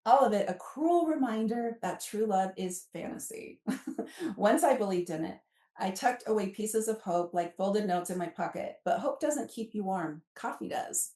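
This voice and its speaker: A woman's voice: medium pitch, animated, moderate pace, mellow. Echoey, distant middle-aged American woman, chatty. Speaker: podcast guest and author. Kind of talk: casual chatty storytelling, with some reading aloud from a book. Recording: echoey room, distant from mic.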